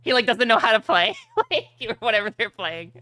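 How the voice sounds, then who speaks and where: Woman game master, bright lively voice. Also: a little high, a little fast, no echo, studio quality. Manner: profane, teasing. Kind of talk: running a tabletop roleplay game with casual banter.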